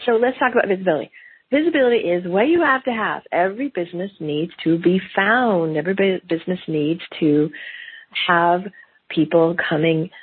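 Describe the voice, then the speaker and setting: Gravelly-voiced woman hosting on a phone-like line. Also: on the low side, fairly quick, no echo. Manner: animated, acts out quotes in lively voices. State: slight cold, gravelly voice. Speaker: podcast host. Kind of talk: solo business podcast talk. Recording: phone-like narrow band, dry.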